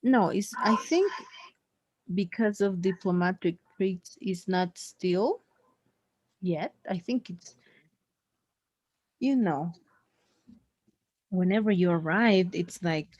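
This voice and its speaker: A woman's voice: on the low side, takes it slowly, pauses often, darkish tone, no room sound, lo-fi sound. A woman chatting loosely in a one-on-one conversation lesson, with many fillers and restarts. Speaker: conversation tutor. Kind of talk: casual conversation practice.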